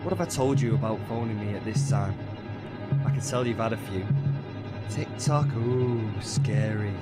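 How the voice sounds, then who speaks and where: A man's voice: on the low side, moderate pace, loud music behind the voice, lightly acted. An actor playing a guilt-ridden, sleepless young man in an audio horror drama. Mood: sarcastic.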